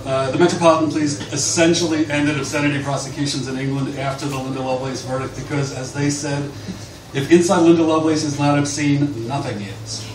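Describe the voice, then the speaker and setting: Echoey, higher-pitched man reading aloud live. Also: fairly even intonation, fairly quick. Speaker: author reading at a live book event. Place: bar reading event. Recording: distant, echoey, noisy live bar recording.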